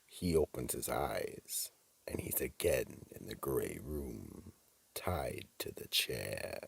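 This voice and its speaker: A man narrating a radio mystery as an ominous storyteller, slow and dramatic, with a very deep, rough, gravelly voice. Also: expressive, no room sound, full character voice.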